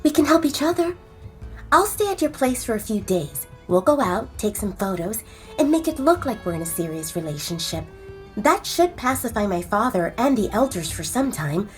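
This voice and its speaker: Woman playing a lively, high-voiced young she-wolf model. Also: moderate pace, faint music behind. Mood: persuasive. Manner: direct, persuasive. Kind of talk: dramatised audio romance.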